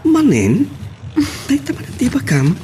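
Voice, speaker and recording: A man's voice: very high voice, wildly swooping pitch, measured pace, noisy background, heavily acted. A man playing an ardent, sweet-talking young lover who woos and pleads in a radio romance drama. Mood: pleading, reassuring. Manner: wooing, pleading. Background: music.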